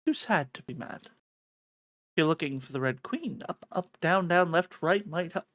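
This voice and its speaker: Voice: medium pitch, lively intonation, a little slow, fairly bright tone, no echo, clean studio sound. A streamer voicing a sly, grinning cat-girl from a visual novel, teasing, cryptic and leisurely.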